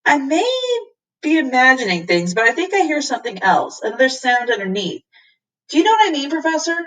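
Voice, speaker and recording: An eager, excitable boy adventurer from a storybook, acted by a woman reading aloud. The voice is pitched up and a little distant from the microphone. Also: fairly quick, heavily acted. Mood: tentative.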